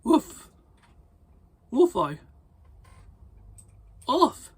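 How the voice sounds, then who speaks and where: A reader voicing an excitable skeleton dog from a storybook with short, sharp barks. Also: sits high, very slow, slightly rough, voice pushed up, full character voice. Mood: excited.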